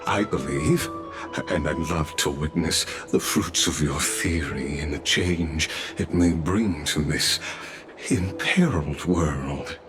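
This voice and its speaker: An actor voicing a courtly, scheming male noble host in a game cutscene, unctuous and flattering, chuckling often. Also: very deep voice, takes it slowly. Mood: probing. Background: game score music.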